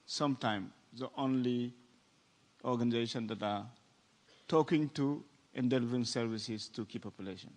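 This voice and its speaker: Senegalese guy with a heavy french accent, slow and deliberate, echoey big hall. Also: medium pitch, a little mellow. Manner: deliberate, with fillers and pauses. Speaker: executive director of an NGO. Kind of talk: conference panel talk. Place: large conference hall. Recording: reverberant conference hall.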